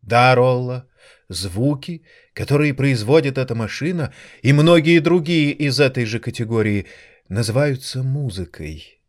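A man's voice: medium pitch, a little slow, natural voice. A man playing a cultured, condescending yet passionate piano maestro in an audio drama, lecturing with theatrical irony. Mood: lecturing, exasperated.

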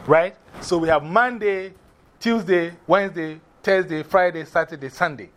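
A man from Ghana with a Ghanaian accent, teaching a class in a lively way, often repeating and spelling out words. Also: high-pitched, moderate pace.

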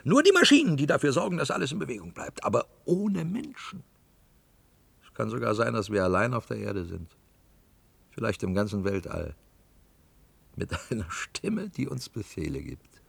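An actor playing a brooding, weary cellar worker full of doubt in a science-fiction radio play, intense and dropping into hushed whispers. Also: male voice, mid-range voice, huge pitch swings, normal speed, vocal fry, pauses often, husky, no echo, exaggerated voice. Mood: insistent, agitated.

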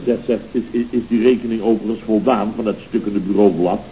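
Man acting a pompous, self-pitying boss, bright-voiced. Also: fairly low pitch, steady tone, quick pace, lightly acted. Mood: blustering. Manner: pompous, melodramatic. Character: self-pitying, blustering male boss of a building firm. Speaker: actor in a radio comedy serial. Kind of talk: 1970s Dutch radio comedy acting. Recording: dull, noisy old radio recording.

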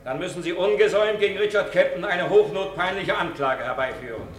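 An actor playing a defiant, principled witness in a radio play, speaking formally with a measured, biting manner. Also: male voice, high-pitched, natural voice. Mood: indignant. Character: defiant, principled male court witness, indignant gentleman. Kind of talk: German radio play acting. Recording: echoey room.

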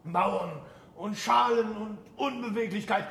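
A man's voice: high-pitched, slow, vocal fry, a bit bright, exaggerated voice. A man playing an exuberant, impatient scholar and teacher, declaiming emphatically in a classic radio play. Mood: enthusiastic, visionary. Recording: roomy sound with faint noise.

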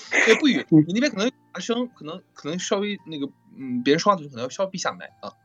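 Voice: mid-range voice, very animated, fast talker, slightly rough, dull audio, soft background music. A voice actor hosting a casual live chat, chatty and often repeating phrases. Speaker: host and voice actor.

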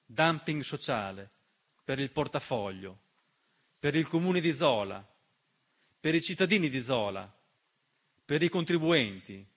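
A man performing a dramatic political theatre monologue in an emphatic, rhetorical manner with deliberate repetition. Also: mid-range voice, expressive, a bit bright, breathy edge. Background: piano accompaniment.

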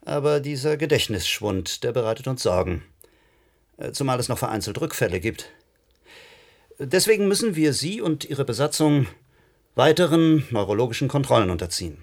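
An actor in a German radio play, playing a reasonable, concerned doctor who speaks in a courteous, formal way. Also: male voice, mid-range voice, sing-song, normal speed.

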